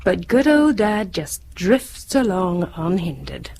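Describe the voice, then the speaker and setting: A grown British daughter in a radio drama. Her voice sits fairly low, caring toward her mother and bitter beneath it, over faint background noise. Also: big pitch swings, slow, creaky, a little mellow, exaggerated voice. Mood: weary, bitter.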